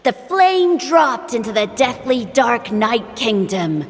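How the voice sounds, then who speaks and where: An earnest, bossy little kid from a video game. The voice is high and takes its time over each phrase, set in long reverb with music behind. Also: female voice, big pitch swings, takes it slowly, heavily acted. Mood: solemn. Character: earnest, bossy young child storyteller of a tribe.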